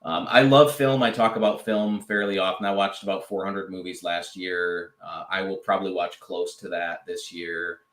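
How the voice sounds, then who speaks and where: A man with a fairly low voice chats casually as a podcast guest. He rambles with plenty of "uh"s and restarts, and his voice comes through a roomy, echoey space. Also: steady tone, normal speed, hardly any pauses, a bit bright. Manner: rambling, many fillers and restarts. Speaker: podcast guest, draft analyst. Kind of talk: casual chat.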